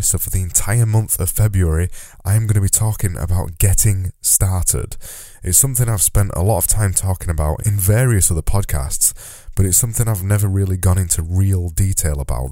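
Deep, dark-voiced man podcasting. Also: dry recording, clean studio sound. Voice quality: nasal. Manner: earnest, repeats words for emphasis. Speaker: podcast host. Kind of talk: solo podcast monologue. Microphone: close podcast mic.

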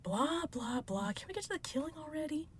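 A woman's voice: sits high, animated, brisk, background noise. An actress in an audio drama playing a mysterious, gleefully sadistic killer, mocking and theatrical, drawing out her words. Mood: bored, petulant.

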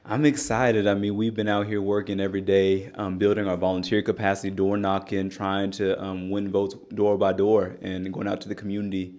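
Young bay area guy, really deep voice, pretty flat delivery, earnest. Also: brisk, hardly any pauses. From California Bay Area. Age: young adult. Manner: earnest and steady, in long flowing sentences. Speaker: political candidate and organizer. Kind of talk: interview guest.

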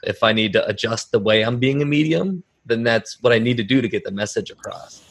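A man's voice: mid-range voice, animated, a little fast. A man speaking as an interviewer in a conversational style, reflecting on his own experience as he goes.

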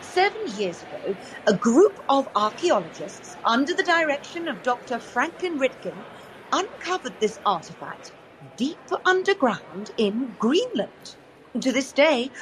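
A woman's voice: sits high, rough recording. A woman playing a museum tour guide in an audio drama, presenting in a scripted, showy way. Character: polished museum tour guide, easily flustered. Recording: faint background ambience noise.

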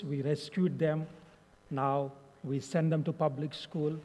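A young man with an Indian accent gives a mission update to a church, pausing often on fillers. His voice is mellow and sits a little high, and it rings out with a long hall reverb. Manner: halting, frequent fillers. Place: church sanctuary.

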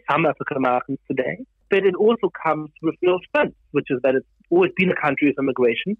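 A man, an author raised in Germany, explaining his views in a panel discussion in a measured way, with frequent fillers and restarts. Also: on the high side, dry recording, phone-quality sound. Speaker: author and guest.

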